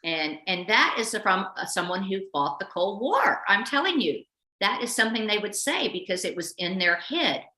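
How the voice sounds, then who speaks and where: A woman hosting a casual interview, speaking haltingly and repeating words as she thinks. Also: medium pitch, big pitch swings, pushed and bright, roomy sound. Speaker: host.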